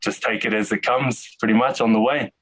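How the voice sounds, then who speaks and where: A man's voice: medium pitch, fairly even intonation, a little fast, fairly bright tone, rough recording. A Māori man from New Zealand talking hesitantly in a casual interview over a video call, with many restarts. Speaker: detainee interviewee.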